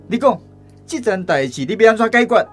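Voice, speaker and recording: A man's voice: high-pitched, animated, fairly quick, faint music behind, voice pushed up. A man playing an upright, authoritative village headman with a Taiwanese accent, scolding and emphatic. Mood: angry scolding.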